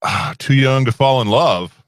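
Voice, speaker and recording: A man's voice: mid-range voice, unhurried, smooth, no room sound, studio quality. A man co-hosting a casual live chat show, playful and self-deprecating as he guesses along in a music quiz.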